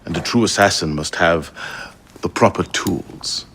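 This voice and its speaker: Slow, solemn assassin council leader. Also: male voice, low voice, a bit bright, a little hiss or hum. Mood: formal, solemn. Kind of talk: video game cutscene acting.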